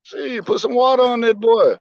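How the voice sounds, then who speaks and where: A man's voice: extremely high pitch, moderate pace, dull audio, crowd noise. A man co-hosting a casual boxing chat, slangy and teasing, repeating his phrases. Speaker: boxing podcast co-host.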